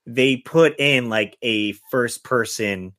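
A man's voice: medium pitch, slow, fairly bright tone, no room sound, studio quality. A man hosting a podcast, chatting casually and reading out film reviews. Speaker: podcast host.

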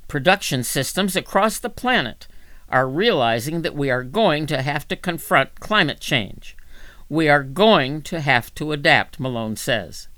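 Female narrator for magazine articles: animated intonation, measured phrasing, dry sound with little room. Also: deep voice, no room sound. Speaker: volunteer reader for an audio reading service. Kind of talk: scripted narration, reading magazine articles aloud.

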